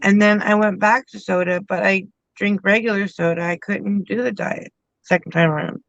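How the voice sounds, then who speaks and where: A woman co-hosting a casual livestream, chatting in a relaxed way with plain short sentences. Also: mid-range voice, moderate pace, no room sound, highs cut off. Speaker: livestream co-host.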